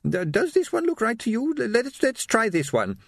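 A man's voice: very high-pitched, wildly swooping pitch, normal speed, vocal fry, dry recording, studio quality, voice pushed up, full character voice. Man putting on a thin, querulous little old lady. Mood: flustered. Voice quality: thin, quavering. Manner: hesitant, dithering, polite.

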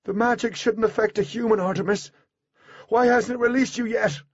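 A fierce, anguished female fairy from an audiobook, voiced by a man, pleads in a high, husky voice. She sounds loyal and emotional, on a slightly muffled recording. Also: fairly even intonation, normal speed, no room sound. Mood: anxious. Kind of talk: audiobook character voice.

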